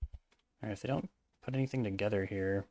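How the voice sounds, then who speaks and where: Low-voiced man thinking aloud over gameplay. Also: fairly quick, a little mellow. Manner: thinking aloud, mumbled asides. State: voice hoarse and cracking. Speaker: card game player and commentator. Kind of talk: casual gameplay commentary.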